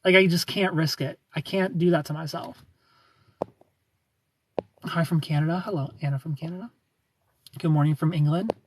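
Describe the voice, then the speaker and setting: Husky-voiced man chatting on a livestream. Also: on the high side, fairly even intonation, moderate pace, long pauses. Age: 30s. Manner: joking, self-mocking, laughs often. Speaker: livestream host. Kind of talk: casual livestream chat reading viewer comments aloud.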